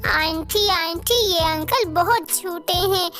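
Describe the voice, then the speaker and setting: A woman acts a very high, childlike little eagle boy in a cartoon. The chick sounds innocent, polite and plaintive. Music runs underneath. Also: slow pace, bright, forceful tone, full character voice. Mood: complaining. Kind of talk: Hindi cartoon voice acting.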